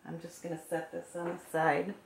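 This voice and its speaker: Hoarse, low-voiced woman teaching a craft. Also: moderate pace. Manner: calm, gentle instructional talk while working. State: hoarse, froggy voice. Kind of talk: step-by-step craft tutorial. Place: craft table indoors. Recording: echoey room with faint noise.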